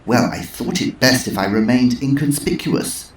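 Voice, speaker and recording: A man's voice: medium pitch, normal speed, slight background noise, pitched above the performer's own voice, natural voice. A man voicing a sly old woman who talks in a dry, knowing, tart way. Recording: roomy echo.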